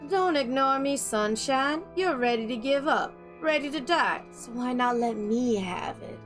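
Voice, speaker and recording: A woman's voice: high-pitched, animated, a little slow, faint music behind, pitched above the performer's own voice. Woman voicing a vulgar Southern demon, taunting and fierce. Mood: coaxing, hungry. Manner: taunting, coaxing, madly joyful. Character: vulgar, fierce, bloodthirsty demonic voice with gleeful menace.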